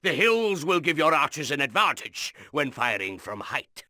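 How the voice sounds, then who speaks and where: An actor playing a stern, vengeful military commander who gives formal mission briefings in a medieval game. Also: male voice, a little high, big pitch swings, moderate pace. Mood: stern, urgent.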